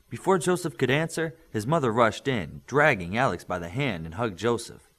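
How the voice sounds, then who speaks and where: A man reading a superhero story aloud as scripted narration, in an expressive storytelling manner. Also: medium pitch. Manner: expressive storytelling, acting out dialogue. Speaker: audiobook narrator.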